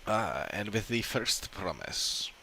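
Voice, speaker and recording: A man's voice: lowish voice, sing-song, takes it slowly, vocal fry. A man giving casual, musing live commentary as he streams a video game. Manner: musing, reactive. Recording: game music behind the voice.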